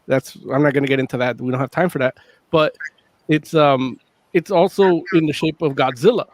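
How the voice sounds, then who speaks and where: A man's voice: fairly high pitch, a little fast, no room sound, low-quality recording. A man co-hosting a casual chat over a video call, explaining things in a knowing way and trailing off. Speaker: podcast co-host.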